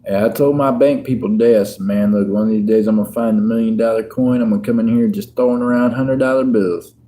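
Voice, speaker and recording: A man's voice: on the low side, steady tone, fast talker, mellow, slight background noise. A man, chatting and joking with viewers on a casual livestream. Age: 50s. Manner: laid-back, joking, chats with viewers. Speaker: livestream host. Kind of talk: casual livestream chat while sorting coins.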